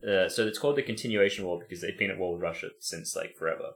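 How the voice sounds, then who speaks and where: Low-voiced man telling a story deadpan. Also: very fast. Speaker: podcast co-host. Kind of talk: casual comedy podcast chat.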